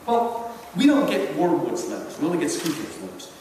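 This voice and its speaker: A man giving an animated public lecture as a college teacher, with an emphatic, theatrical storytelling manner. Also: fairly high pitch, brisk. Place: large indoor hall at a public event. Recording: very echoey hall from far off, faint noise.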